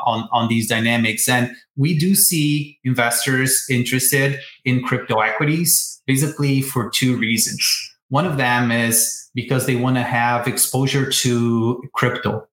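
A man with a Brazilian accent talks as a guest expert in an interview. His voice is bright and fairly level in pitch. The sound is echoey, as in a live room. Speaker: guest, research director at an asset manager. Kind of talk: conversational panel interview. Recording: roomy echo.

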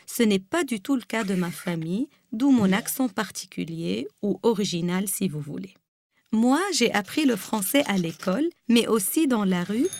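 A woman's voice: medium pitch, a little mellow, slight background noise. A woman from Lebanon, a native Arabic speaker with a Lebanese accent and a rolled R, reading a personal essay aloud. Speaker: guest reader.

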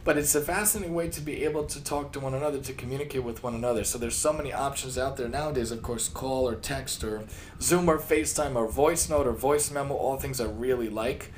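American guy chatting on his podcast, middle-aged, really into it, repeats himself, bit of rumbly noise behind. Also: fast talker. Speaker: podcast host. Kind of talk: casual solo podcast talk, tip of the day.

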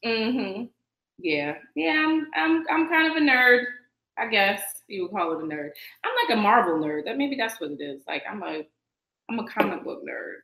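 Lively woman co-host, bright and enthusiastic. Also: medium pitch, normal speed, echoey room, poor audio. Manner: lively and enthusiastic, hesitant fillers. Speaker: podcast co-host. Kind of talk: casual live-stream chat.

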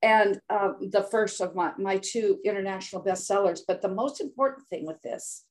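An older American woman speaking as a podcast guest over a remote call, voice at an everyday middle pitch. She tells her story dramatically and acts out the words of others. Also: moderate pace. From United States. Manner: dramatic, acts out quotes with voice shifts. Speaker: guest health coach. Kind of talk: emotional storytelling in interview.

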